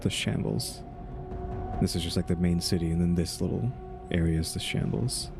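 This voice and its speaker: A man's voice: low-pitched, fairly even intonation, normal speed, vocal fry, music in the background. A man giving casual, laid-back commentary as he plays a video game, musing aloud. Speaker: gaming video host.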